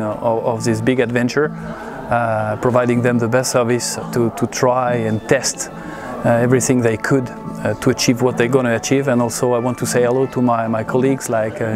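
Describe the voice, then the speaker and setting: Even-toned man, halting delivery. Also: mid-range voice. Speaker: head of air traffic control. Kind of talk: interview answers. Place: busy air traffic control room. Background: background music.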